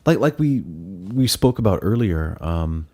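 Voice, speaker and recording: A man hosting a casual interview, enthusiastic and warmly appreciative, who often stammers and restarts his sentences. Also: deep voice, big pitch swings, a little slow, slightly rough. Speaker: podcast host.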